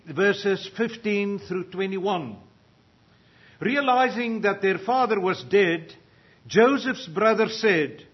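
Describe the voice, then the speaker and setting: Slow, husky older preacher. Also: male voice, high voice. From South Africa. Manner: slow, earnest delivery, rising in volume for readings. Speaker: pastor. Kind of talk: sermon with scripture reading. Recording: dull lo-fi sound.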